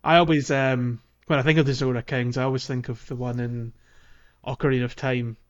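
A man's voice: medium pitch, little pitch movement, fairly quick. Man chatting, slightly dull recording. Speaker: podcast co-host. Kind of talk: casual video game playthrough chat.

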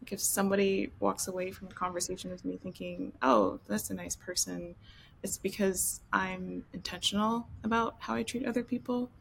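A woman from Ontario talking in a casual group chat as a roundtable panelist, thoughtful and soft-spoken, with easy giggles. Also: medium pitch, fairly even intonation, normal speed, gravelly, a little hiss or hum, poor audio. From Ontario, Canada.

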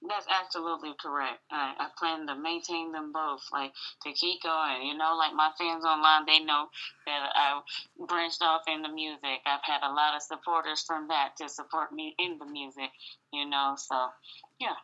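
Raspy woman on a muffled phone line. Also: sits low, fairly even intonation, normal speed, pushed and bright. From Atlanta, Georgia, US. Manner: laid-back, laughs often, many "you know"s. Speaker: singer and model guest. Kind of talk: casual phone interview. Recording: dull lo-fi phone audio.

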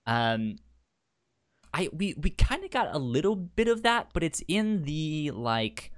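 A man's voice: a little high, very animated, a little slow. A man co-hosting a casual book discussion podcast, jokey, who often stammers and restarts his words. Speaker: podcast co-host.

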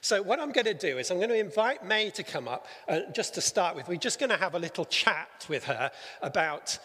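A man with a fairly high voice leads a church gathering, preaching and then gently teasing the woman he interviews. He is a little halting, and the hall gives his voice a long reverb. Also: very animated. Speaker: church leader interviewing on stage. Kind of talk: sermon-style talk and interview.

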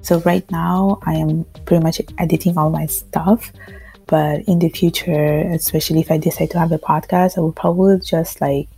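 Woman, from Italy, podcast guest, lowish pitch, husky, conversational answers. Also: steady tone, moderate pace, warm tone, soft background music. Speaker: podcast guest working in finance.

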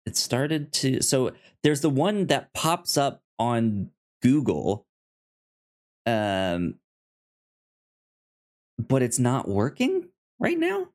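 A male podcast co-host with a mid-range voice chats casually about film. His pace is slow and halting, and he often repeats or stumbles over words as he goes. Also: medium pitch, wildly swooping pitch, darkish tone.

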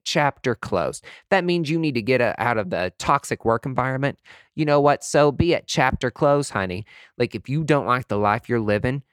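A man with a medium-pitched voice speaks as a candid motivational podcaster. He is sassy and emphatic, chatting casually with camp slang and the odd swear word. The sound is dry, with no room echo. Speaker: podcast speaker, motivational aesthetics-industry personality.

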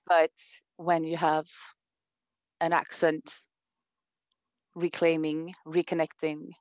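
A woman's voice: deep voice, little pitch movement, slow, darkish tone, no room sound, dull audio. A woman from Sweden with a Swedish accent, speaking thoughtfully in an interview, with many fillers and restarts. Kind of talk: reflective conversation.

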